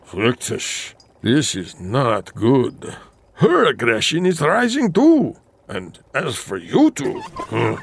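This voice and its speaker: An actor playing an anxious, hesitant doctor of science with a halting manner, in a campy audio drama. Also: male voice, medium pitch, huge pitch swings, vocal fry, slight background noise, exaggerated voice. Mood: brooding. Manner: slow, careful, halting.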